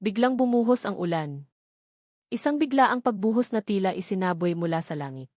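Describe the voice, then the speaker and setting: A woman's voice: mid-range voice, animated, normal speed, darkish tone, dry recording, clean studio sound. A woman narrating a romantic drama in an emotive storytelling manner.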